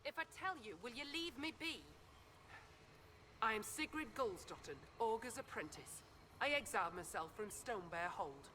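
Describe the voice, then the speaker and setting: An actress playing a prickly, guarded exiled apprentice mage in a video game, agitated and using archaic phrasing. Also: female voice, a little high, sing-song, normal speed, bright, forceful tone, a little hiss or hum.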